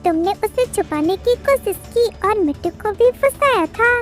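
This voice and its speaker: Woman as an earnest high-voiced young bird detective. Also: animated, moderate pace. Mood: accusing. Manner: earnest, questioning. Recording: music behind the voice.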